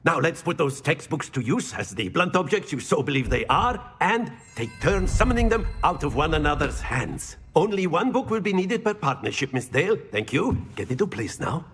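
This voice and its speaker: An actor playing a playful, brisk but encouraging professor in a video game, speaking with theatrical flourish. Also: male voice, on the high side, fairly bright tone, slightly rough, heavily acted. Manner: theatrical, flowery phrasing with questioning hums. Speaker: charms professor character.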